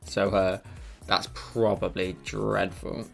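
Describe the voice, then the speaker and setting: A man's voice: low voice, flat delivery, takes it slowly, gravelly. A man chatting casually with viewers while he teaches a live digital drawing session. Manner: chatty, reads and answers viewer messages. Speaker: digital art streamer. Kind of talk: casual live-stream tutorial chat. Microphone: headphones on, desk mic. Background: faint background music.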